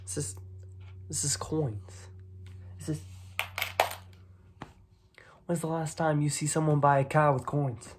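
A young man voicing a gruff, dismissive, streetwise mob boss in a soft-spoken ASMR roleplay, breathy and clipped. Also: a little high, steady tone, slight background noise. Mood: suspicious, demanding. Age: young adult. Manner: slow, clipped tough-guy talk with repeated phrases. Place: small dim room at home, indoors.

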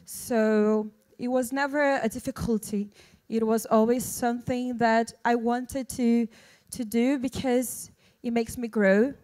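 A woman's voice: fairly high pitch, a little mellow. A young woman from Brazil whose first language is Portuguese, speaking English with a Portuguese accent at a film launch press event, earnest and often restarting as she searches for words, into a handheld mic. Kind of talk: press conference answers. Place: indoor launch event stage. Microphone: handheld microphone.

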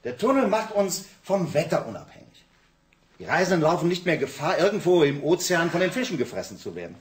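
An actor playing a driven, work-obsessed engineer husband in a German radio drama, expressive, placating and insistent. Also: male voice, fairly high pitch, brisk, a little hiss or hum. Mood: confident, insistent.

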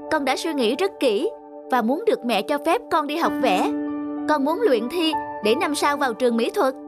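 A woman's voice: sits high, animated, normal speed, music in the background. A woman voicing a timid, earnest young girl in a cartoon. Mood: earnest pleading. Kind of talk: animated cartoon dubbing.

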